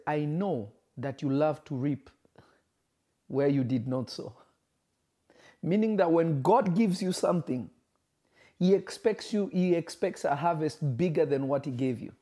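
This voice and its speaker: Man, preacher, livestream sermon, emphatic, repeats phrases, warm mellow voice. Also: on the high side. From Kenya. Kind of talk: livestream sermon reading viewer comments.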